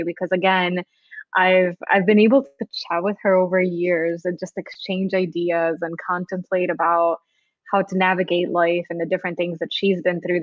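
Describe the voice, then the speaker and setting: A woman's voice: lowish voice, dry recording. A woman from Serbia co-hosting a conversational show and introducing a guest in an effusive manner over an online call. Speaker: podcast co-host, organizational psychologist. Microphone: remote online call. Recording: dull, lo-fi call audio.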